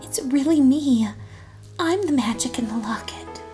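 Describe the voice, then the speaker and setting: A woman's voice: high voice, lively intonation, measured pace, warm tone, soft background music, pitched above the performer's own voice. A woman voicing an earnest little girl full of wonder in a children's storybook.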